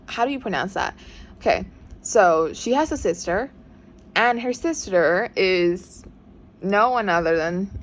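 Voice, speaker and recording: A woman with a light Thai accent chatting excitably and dramatically as she reacts to a book she is reading, full of restarts. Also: medium pitch, a little hiss or hum. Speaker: book vlogger. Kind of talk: casual reading reaction vlog. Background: faint background music.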